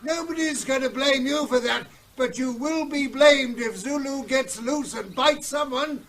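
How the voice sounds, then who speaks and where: An actor playing an earnest veterinary surgeon in a radio drama, who talks urgently and persuasively. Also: male voice, very high-pitched, a little slow, fairly bright tone, heavily acted. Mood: pleading.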